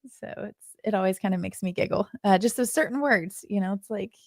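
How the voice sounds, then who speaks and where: Chatty Southern woman with vocal fry. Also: mid-range voice, brisk, dry recording, studio quality. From Nashville, southern US. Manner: chatty, upbeat, with frequent fillers like "like" and "um". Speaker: podcast host. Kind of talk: casual tech podcast chat.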